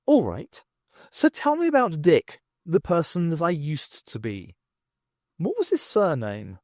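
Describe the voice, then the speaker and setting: A quick-witted woman adventurer, played by a man reading an audiobook, inquisitive and insistent. The voice is lifted high and swoops about as she presses her questions with emphasis. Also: wildly swooping pitch, a little mellow, no room sound, studio quality. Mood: questioning.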